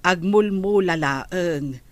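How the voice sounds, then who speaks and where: Slow, lowish Ilocano village woman. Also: no room sound. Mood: matter-of-fact. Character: calm, informed village woman passing on family news. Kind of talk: Filipino radio drama acting.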